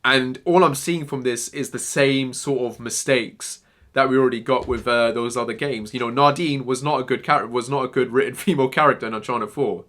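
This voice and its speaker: A man's voice: medium pitch, fairly quick, fairly bright tone. A young man giving rambling, emphatic casual commentary, often repeating himself as he talks. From Germany-born Iranian, raised in England. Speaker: gaming commentator.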